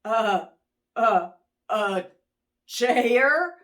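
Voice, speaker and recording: A woman's voice: a little high, expressive, very slow, a bit bright, heavily acted. A woman voicing a nervous, polite male turkey guest in a children's storybook read-aloud. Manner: stammering, hesitant.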